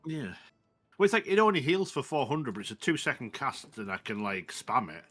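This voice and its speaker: Bright-voiced man chatting about a game. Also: mid-range voice, fairly quick, husky. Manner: relaxed, laughing, many "like"s. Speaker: gamer in voice chat. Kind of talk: casual gaming chat.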